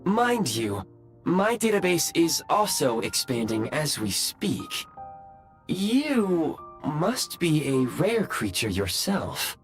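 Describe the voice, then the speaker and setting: A man's voice: on the high side, very animated, slow, soft background music, exaggerated voice. A man voicing a courteous, self-aware ancient machine in a video game, speaking formally and precisely. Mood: courteous, helpful.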